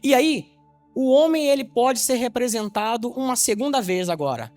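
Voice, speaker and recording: A man's voice: extremely high pitch, expressive, normal speed, darkish tone, dry recording, soft background music. A man from Salvador, Bahia, preaching an evangelistic sermon to his congregation. He teaches with rhetorical questions and keeps checking whether they understand. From Salvador, Bahia, Brazil. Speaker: pastor.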